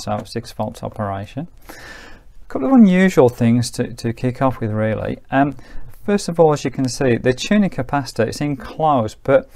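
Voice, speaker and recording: Guy doing a casual hands-on review, normal mid pitch, kinda rambly and chatty, corrects himself. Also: darkish tone. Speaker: presenter of a vintage radio review. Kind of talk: informal hands-on review commentary.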